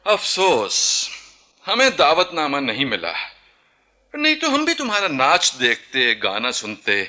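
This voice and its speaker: A proud young ruler under siege for a radio play. His voice is very bright and forceful, slightly high, and swings widely in pitch. He speaks in regal, rhetorical phrases with a sardonic edge. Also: wildly swooping pitch, unhurried, exaggerated voice. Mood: probing, wry. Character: proud, embattled young Nawab of Bengal. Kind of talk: theatrical Hindi radio-play acting.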